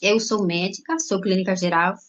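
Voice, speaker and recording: A woman with a Cuban accent, a native Spanish speaker, giving an informal testimonial haltingly, with frequent check-in tags. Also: mid-range voice, fairly even intonation. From Cuba. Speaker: guest speaker, doctor. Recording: dull lo-fi online-call audio.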